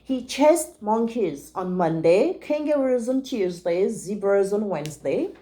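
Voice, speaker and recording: A woman's voice: mid-range voice, animated, a little slow, mellow. A woman reading a storybook aloud to young children like a teacher, with simple asides to them.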